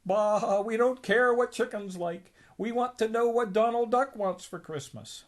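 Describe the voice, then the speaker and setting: Man acting out old rich duck uncle, grumpy, stingy, blustering, gruff storybook voice. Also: sits high, a little slow, voice pushed up. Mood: exasperated.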